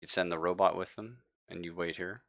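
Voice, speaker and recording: A man's voice: sits low, fairly even intonation, fairly quick, vocal fry, fairly bright tone, no echo. A man speaking as game master, improvising narration of a tabletop game in an informal, explanatory way.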